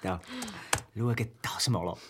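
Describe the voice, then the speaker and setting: An actor playing a gleeful, mischievous office colleague who tinkers with tech and shows off, in a radio drama. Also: male voice, sits low, takes it slowly, noisy background. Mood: gleeful, teasing.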